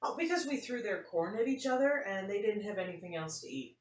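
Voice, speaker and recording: Woman reading as a nonchalant schoolgirl, animated pitch. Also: brisk, natural voice. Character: nonchalant schoolgirl recounting an unbelievable farm trip. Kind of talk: picture-book read-aloud. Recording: roomy, faint noise, distant mic.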